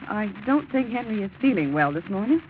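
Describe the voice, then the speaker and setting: A woman's voice: mid-range voice, sing-song, a little fast, natural voice. Anxious earnest young gal woman acting, hesitant, tinny hissy 40s radio drama. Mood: earnest, careful. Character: worried, soft-pleading young woman accomplice. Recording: tinny, noisy 1940s radio recording.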